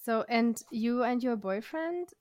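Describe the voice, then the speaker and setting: Dry-sounding woman host, fairly high voice. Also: animated, unhurried, darkish tone, no echo. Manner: brief agreeing replies, hesitant questions with "um". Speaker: podcast host. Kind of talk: interview questions.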